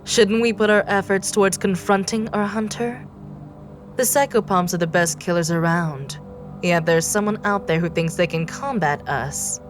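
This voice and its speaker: A woman's voice: mid-range voice, moderate pace, faint music behind, natural voice. A woman playing a ghostly death goddess in an audio drama, grave, challenging and questioning. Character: slimy grey-skinned ghostly death goddess, challenging and concerned.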